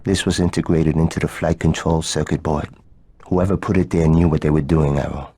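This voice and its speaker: Gruff aircraft mechanic for game dialogue, deep and rough-edged, dependable, music underneath. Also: male voice, fairly even intonation, fairly quick, warm tone, full character voice. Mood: focused, grim. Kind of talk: video game cutscene dialogue. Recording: music behind the voice.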